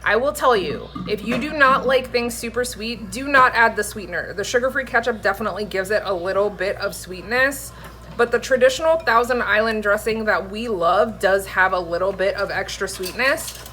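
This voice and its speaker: A woman's voice: medium pitch, normal speed, pushed and bright. A woman in her thirties giving chatty, explanatory recipe talk in a casual vlog from her home kitchen. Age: 30s. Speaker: cooking vlogger. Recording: faint background noise in kitchen.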